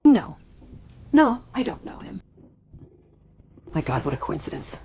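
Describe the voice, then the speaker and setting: A woman's voice: on the low side, very animated, mellow, slightly rough, exaggerated voice. An actress playing a startled, uneasy married woman who speaks hesitantly in a radio play. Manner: hesitant, halting.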